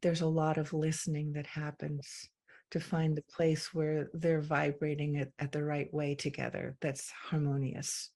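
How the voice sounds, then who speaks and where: A woman from England, a guest in a conversation, speaking reflectively with frequent pauses and ums. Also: low-pitched, level tone, a little mellow. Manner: slow, thoughtful, with many pauses and ums. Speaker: interview guest.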